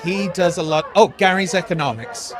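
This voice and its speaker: Higher-voiced man arguing earnestly, outdoors. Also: moderate pace. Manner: earnest, explanatory. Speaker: protest interviewee. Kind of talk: casual political argument. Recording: outdoor street with crowd noise.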